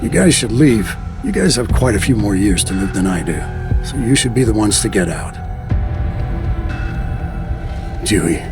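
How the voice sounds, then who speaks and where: A low, grave, guilt-ridden older hunter. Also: male voice, big pitch swings, fairly quick, music in the background. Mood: resolute. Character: older male hunter, guilt-ridden, dutiful, self-sacrificing. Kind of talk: dramatic game cutscene voice acting.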